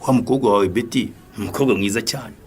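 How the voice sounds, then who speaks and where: Man acting a fast-talking, jovial Rwandan flatterer. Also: lowish voice, slight background noise, close to an everyday voice. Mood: chatty, nosy. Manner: chatty, chuckling, ingratiating. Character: jovial, flattering debtor eager for work. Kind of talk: radio drama acting.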